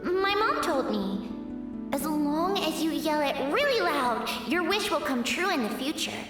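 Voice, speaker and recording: Flashback child, an eager little girl, very high and lively, echoing from afar. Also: unhurried, music in the background. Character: young girl wanting to make lanterns, earnest. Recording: echoey, distant, long reverb.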